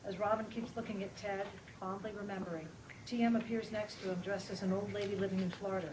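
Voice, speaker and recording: A woman's voice: mid-range voice, fairly even intonation, quick pace. A woman giving scripted narration, reading stage directions at a table read. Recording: noisy, distant mic, live room.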